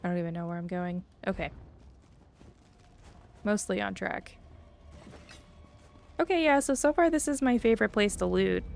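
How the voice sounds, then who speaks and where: A woman's voice: medium pitch, normal speed, vocal fry, long pauses, darkish tone, a little hiss or hum. A woman livestreaming a game in a chatty, upbeat way, thinking aloud and reading out viewer comments. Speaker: livestreamer playing an online fantasy game. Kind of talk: casual gameplay chat, reading out viewer comments. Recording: faint game music behind the voice. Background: faint game music.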